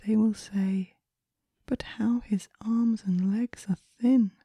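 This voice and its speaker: A woman recites poetry in a hushed, breathy whisper meant for relaxation. Her delivery is gentle and unhurried, with very little rise and fall. The tone is extremely soft and dark, captured close and dry. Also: mid-range voice, fairly even intonation, no room sound. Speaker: poetry reader.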